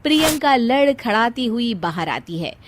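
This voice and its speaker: A woman's voice: on the high side, big pitch swings, unhurried. A woman narrating a scripted moral story. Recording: loud music behind the voice.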